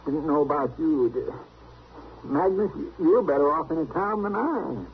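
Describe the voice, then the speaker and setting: An actor playing a fretful, affectionate older brother in a radio western, with a stammering, folksy rural American drawl. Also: male voice, a little high, lively intonation, a little slow. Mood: protesting, flustered. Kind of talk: old-time radio western acting. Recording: tinny, hissy old radio recording.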